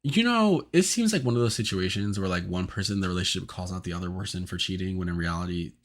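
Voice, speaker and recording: A man's voice: sits low, lively intonation, quick pace. A young man hosting a casual advice podcast, chatty and teasing, with lots of fillers. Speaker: podcast host.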